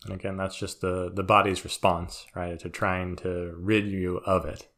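Man, very deep voice, podcast co-host, thoughtful, reasons aloud. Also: level tone, normal speed, a bit bright. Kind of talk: casual chat.

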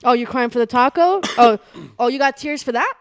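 A woman's voice: high voice, a little fast, smooth, dry recording, studio quality, close to an everyday voice. A woman playing a hostile bad cop who goads and swears at a suspect during an interrogation. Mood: menacing. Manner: sneering, goading, repeats "huh?", swears.

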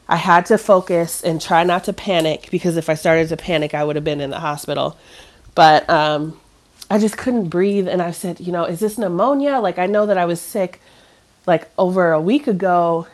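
A woman in her thirties chatting casually, sounding stuffy and nasal from a cough, rambling with many fillers and restarts. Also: fairly low pitch. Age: 30s. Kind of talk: casual chat.

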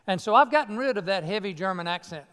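Here is Martin Luther, voiced by a pastor, an earnest old monk speaking with a Southern US accent. The voice sits high and smooth, folksy and self-deprecating. It rings through a church sanctuary with a long reverb. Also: male voice, lively intonation, fast talker, fairly bright tone. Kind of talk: costumed sermon monologue.

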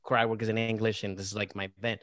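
A man who is a stand-up comedian, chatting casually in an interview, talking in quick bursts with many fillers and restarts. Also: lowish voice, flat delivery, fairly bright tone, dry recording, clean studio sound. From Maryland, United States.

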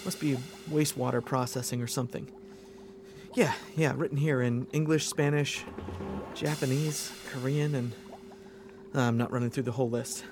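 A man playing an embattled, wry and excitable space fleet lieutenant in an audio drama, talking in a hesitant, urgent mutter. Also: mid-range voice, normal speed, noisy background, lightly acted. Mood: puzzled. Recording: loud engine-like ship ambience behind.

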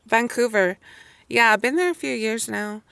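A woman's voice: on the high side, normal speed, creaky, sharp tone, clear, resonant tone, no echo. A woman playing an apologetic, awkward young woman back among old friends in a naturalistic audio drama, speaking in a hesitant, conversational way.

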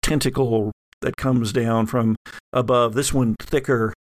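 A man acting as game master, narrating a horror tabletop game in a halting, descriptive way. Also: mid-range voice, fairly even intonation.

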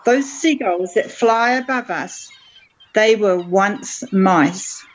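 Woman as a slow, portentous mentor witch. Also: medium pitch, rough recording. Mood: mystical. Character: cryptic, solemn mentor witch professor, mystical. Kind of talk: improvised comedy audio sketch.

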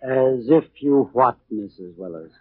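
Probing police inspector, husky, old radio. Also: male voice, medium pitch, measured pace, dry recording. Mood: coolly insinuating. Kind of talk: 1940s radio thriller acting. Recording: muffled, narrowband 1940s radio recording.

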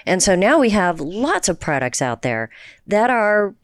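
A woman's voice: mid-range voice, big pitch swings, unhurried, no echo, clean studio sound. A woman from the Houston area co-hosting a casual chat, teasing her guest with playful questions. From Houston area, Texas. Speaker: co-host. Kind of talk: casual podcast conversation.

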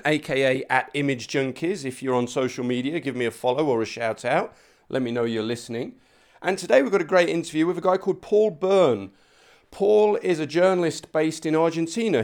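A male podcast host with an ordinary mid-range voice that has some gravel and fry in it. He talks casually and informally, in a matey style suited to introducing and interviewing a guest. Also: mid-range voice, a little mellow.

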